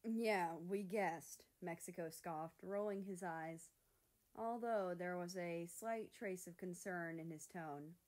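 A woman's voice: on the low side, takes it slowly, a little mellow. A woman reading scripted fiction aloud as a story narrator. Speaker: fan fiction reader.

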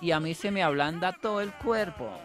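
A man's voice: a little high, a little fast, a bit bright, soft background music, natural voice. A man voicing a meek, flustered woman patient who pleads plaintively in a radio comedy sketch. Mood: plaintive, confiding. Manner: plaintive, keeps addressing the doctor.

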